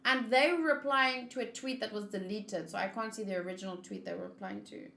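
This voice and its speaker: A woman's voice: medium pitch, fairly quick, gravelly, sharp tone. A woman chatting informally on a gaming livestream, reacting aloud as she talks. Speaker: streamer. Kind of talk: casual livestream chat while gaming.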